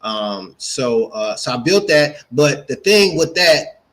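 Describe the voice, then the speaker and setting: American guy late 40s, mid pitched voice, casual interview storytelling, repeats himself for emphasis. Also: sing-song, slow pace, fairly bright tone. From Gary, Indiana, United States. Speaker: guest, entrepreneur and speaker.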